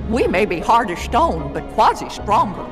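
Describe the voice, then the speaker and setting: A man's voice: sits high, very animated, normal speed. A man playing a feisty, fond, bossy stone gargoyle in a video game, wry and emphatic. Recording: long reverb, game music behind.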